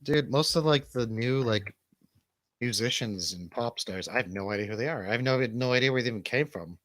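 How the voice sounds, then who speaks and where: American male radio DJ, mid-pitched, rambling comic banter, casual and profane, recorded very dry. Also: brisk, a bit bright, no room sound. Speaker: DJ co-hosting a comedy radio show.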